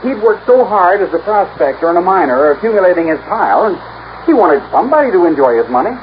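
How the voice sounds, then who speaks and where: Brash young murder suspect, lively higher voice. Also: male voice, quick pace. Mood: glib. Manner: defensive, argumentative. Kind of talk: 1950s radio drama acting. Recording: muffled, noisy old radio recording.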